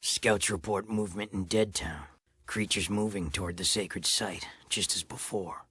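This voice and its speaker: A man playing a gruff, sardonic, commanding underground resistance leader with a husky voice in a video game. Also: deep voice, fairly even intonation, moderate pace, sharp tone, no room sound, exaggerated voice. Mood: weary, sardonic. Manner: terse, commanding. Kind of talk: video game cutscene acting.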